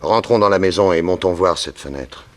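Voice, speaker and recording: An actor playing a calm, courteous detective who questions people methodically in a 1960s French radio drama. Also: male voice, sits low, lightly acted. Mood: analytical. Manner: measured, courteous, probing.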